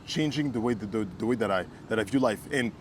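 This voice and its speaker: A man's voice: fairly low pitch, quick pace, slight background noise. Earnest man from Kinshasa, emphatic. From Kinshasa, Congo. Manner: earnest, emphatic, with stammered repeats. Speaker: co-host. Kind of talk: casual conversation.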